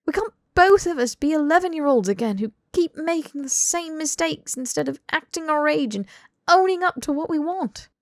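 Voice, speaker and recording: A woman's voice: high voice, expressive, normal speed, dry recording, voice pushed up. A woman playing an earnest young British man, blunt, pleading, emphatic audiobook dialogue, close dry sound. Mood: exasperated, heated. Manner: emphatic, sweary, rambling tirades.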